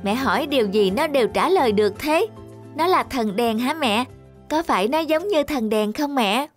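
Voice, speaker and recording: A woman's voice: sits high, lively intonation, normal speed, soft background music. A woman voicing a curious, sensitive little boy in a cartoon, earnest and full of questions.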